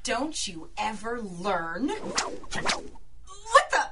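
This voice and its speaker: A woman playing a cocky, melodramatic super-fast villainess in a 1930s-style radio adventure serial, with a mocking delivery. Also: on the high side, wildly swooping pitch, very noisy, exaggerated voice. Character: taunting super-fast villainess, cocky, turning frantic when beaten.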